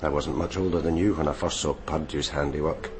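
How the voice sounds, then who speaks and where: Deep-voiced, grim police sergeant. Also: male voice, steady tone, brisk, background noise. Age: middle-aged. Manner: terse, authoritative. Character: seasoned, grimly determined male police sergeant. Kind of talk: naturalistic radio drama acting.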